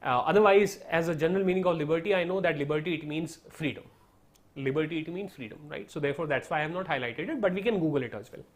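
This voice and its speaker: Indian English male teacher, Hindi native, slightly high voice, patient step-by-step explainer. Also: fast. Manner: explanatory, repeats "right?" and "so therefore", mixes in Hindi. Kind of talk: online lesson on study strategy.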